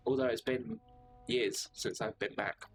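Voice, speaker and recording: A weary woman in a taxi who speaks in a dry, frank and soft way, her sadness close to the surface, voiced by a male reader. The pitch stays in an everyday middle range. Game music plays clearly underneath. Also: steady tone, a little slow, lightly acted. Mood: wistful.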